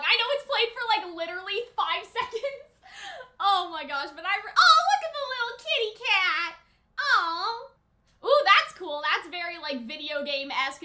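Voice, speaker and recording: A woman's voice: high-pitched, pushed and bright. A young woman hosting anime reactions, talking in a casual, chatty way and peppering her sentences with "like". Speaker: anime reaction and review host. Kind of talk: casual chat and reaction commentary.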